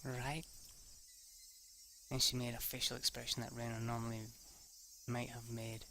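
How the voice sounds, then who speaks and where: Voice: mid-range voice, creaky, sharp tone, a little hiss or hum, low-quality recording. A person reading a visual novel aloud as a dramatic narration, sometimes stumbling over a line and rereading it. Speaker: let's play narrator.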